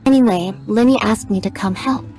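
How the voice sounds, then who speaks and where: A woman voices a young machine tinkerer from a video game. The character is matter-of-fact and sparing with words, in a deadpan middle register, with soft music behind. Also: medium pitch, brisk, natural voice.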